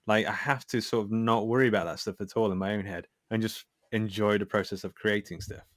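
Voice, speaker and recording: Male podcast guest, lowish voice, close dry sound, candid and reflective, conversational. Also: steady tone, a little fast, dry recording. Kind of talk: casual interview conversation.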